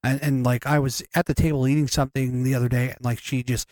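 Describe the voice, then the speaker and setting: A man's voice: mid-range voice, flat delivery, quick pace, a little mellow, no echo. A man co-hosting a podcast, chatting casually in a rambling way, swearing freely and restarting his sentences. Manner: rambling, profane, many restarts. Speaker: podcast co-host. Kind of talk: casual chat.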